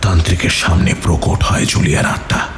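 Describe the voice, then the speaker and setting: A man narrating a scripted horror story in a dramatic, breathy, suspenseful manner. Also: deep voice, little pitch movement, fairly quick, a little hiss or hum. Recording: music under the voice.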